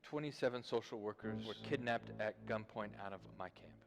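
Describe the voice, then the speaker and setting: An actor playing a grave refugee camp worker, speaking in a heavy, sombre manner. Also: male voice, lowish voice, fairly quick, gravelly. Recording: reverberant stage recording with music.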